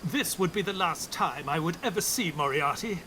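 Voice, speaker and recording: A man playing an earnest, animated high-pitched sidekick narrator. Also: moderate pace, crowd noise, natural voice. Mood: suspenseful narration. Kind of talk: dramatic narration and dialogue of an audio play.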